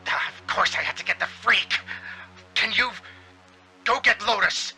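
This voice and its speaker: A man voicing an irritated, foul-mouthed, urgent caller in a tabletop roleplay, sharp and creaky, with an impatient manner. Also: high voice, a little slow, exaggerated voice. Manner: impatient, sighing, swearing.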